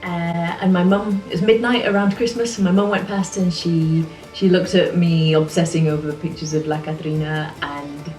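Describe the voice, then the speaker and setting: Woman, low voice, chatty and relaxed, roomy home studio, a bit off mic, music behind. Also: moderate pace, hardly any pauses, recorded from a distance. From England. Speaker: singer-songwriter. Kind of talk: casual talk to camera.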